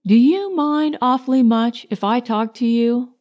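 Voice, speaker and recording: A lonely young city woman, as a woman reader acts her, speaks softly and slowly in an audiobook story. She is quiet and wistful, yet friendly to strangers. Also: fairly high pitch, lightly acted. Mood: tentative, friendly. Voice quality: soft, quiet.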